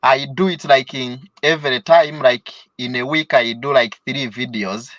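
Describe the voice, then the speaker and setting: A man's voice: mid-range voice, fairly even intonation, normal speed, a bit bright, dry recording. A young man from Uganda with a Ugandan accent, a student calling in to a live stream, speaking hesitantly with fillers and laughs. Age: young adult. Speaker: caller, student and travel vlogger. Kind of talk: live call-in chat. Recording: dull, dry call audio.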